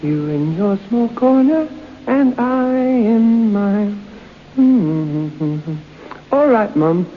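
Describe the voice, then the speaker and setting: A man's voice: sits high, expressive, extremely slow, heavily acted. An actor playing a disturbed man regressed to a petulant small child in an old-time radio drama. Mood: childlike, praying. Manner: childlike, sing-song praying and whining. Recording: muffled old radio recording, faint hiss.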